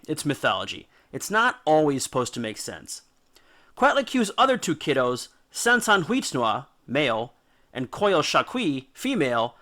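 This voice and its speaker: Male narrator, slightly high pitch, bright forceful tone, dramatic emphasis, jokey slangy storytelling, educational script. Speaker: narrator and host of a mythology video series.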